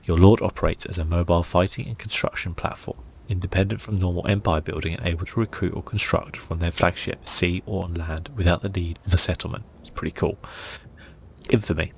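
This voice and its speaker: A man hosting a gaming channel, speaking in a soft, hushed, relaxed ASMR style as he comments and reads aloud close to the mic. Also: brisk, a little hiss or hum. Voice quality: hushed, breathy, creaky. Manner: relaxed, low-key.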